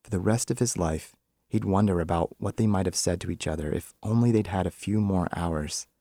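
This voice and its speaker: A man's voice: sits low, brisk, dark tone, no room sound, studio quality. A man narrating a piece of literary fiction as a scripted reading. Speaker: author reading own short story.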